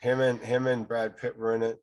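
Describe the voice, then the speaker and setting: A man co-hosting a gaming podcast, talking loud, jokey and rambling in casual chat. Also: mid-range voice, level tone, a little fast. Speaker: podcast co-host.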